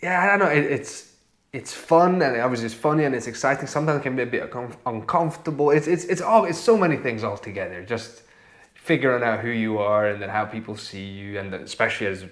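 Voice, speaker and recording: A man's voice: mid-range voice, animated, fast, a bit bright. A man hosting a casual podcast conversation in a chatty, jokey way, often acting out imagined quotes. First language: Hungarian and Romanian. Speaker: podcast host. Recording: roomy, echoey sound.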